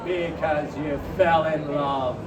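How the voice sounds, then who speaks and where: A man's voice: fairly high pitch, steady tone, slow pace, pitched below the performer's own voice. A man voicing a cold, grumpy old miser uncle in a live story reading, curt and contemptuous, with a growl. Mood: curt dismissive. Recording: echoey crowded fair hall, distant noisy mic.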